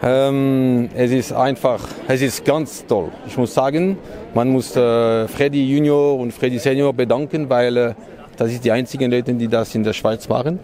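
A man's voice: medium pitch, fairly even intonation, moderate pace, warm tone. Hesitant man outdoors. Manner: hesitant, many fillers and restarts. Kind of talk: spontaneous interview answer. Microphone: handheld mic.

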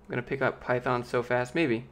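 A young man in his late twenties talks in a loose, rambling way, reading viewer questions aloud and answering them as he goes. His voice is light and boyish and now and then squeaks. He sits close to a big studio microphone in a small home office. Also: steady tone, brisk, fairly bright tone. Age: late 20s. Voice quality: youthful, light voice that sometimes squeaks. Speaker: streamer answering chat. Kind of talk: casual live-stream chat. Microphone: large studio mic with pop filter, close.

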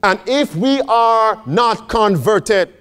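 A man's voice: sits high, lively intonation, slow, a bit bright, smooth. A man preaching a sermon as a pastor, repeating phrases for emphasis and putting questions to his listeners. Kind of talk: sermon with scripture and quotations read aloud.

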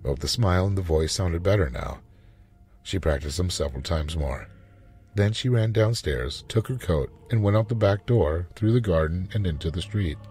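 Male narrator, low-pitched, mellow tone, expressive short story reading, dramatic delivery. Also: moderate pace, breathy edge.

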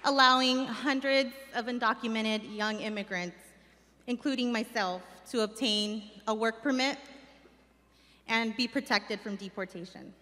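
A woman's voice: a little high, measured pace, pushed and bright, slight background noise. A young woman from Mexico, a community organizer, earnestly reading a personal speech from notes at a stand mic on a large stage. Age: young adult. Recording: stage mic with long hall reverb.

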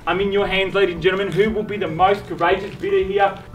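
An Australian man in his thirties runs a live property auction, pushing bids along with punchy repetition. His voice sits high for a man. It sounds distant and echoey, with music playing underneath. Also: flat delivery. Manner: fast persuasive bid calling, punchy repetition. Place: outdoor driveway of a house. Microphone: far camera.

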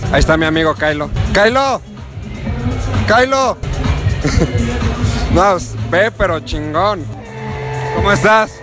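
A young man with a Mexican accent vlogging at an expo, joking and excitable. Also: sits high, slow, long pauses. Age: young adult. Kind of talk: casual vlog at a toy expo. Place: large indoor expo hall. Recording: loud crowd and music behind.

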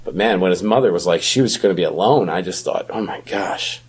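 A middle-aged man chatting casually about films as a podcast co-host, talkative and quick to laugh. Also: deep voice, slightly rough.

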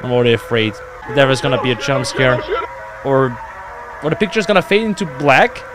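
A man's voice: mid-range voice, brisk, music in the background. A young man giving casual, exclamatory, sweary reaction commentary into a close desk microphone in a home gaming room. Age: young adult. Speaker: YouTube reaction host. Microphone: close desk microphone on boom arm.

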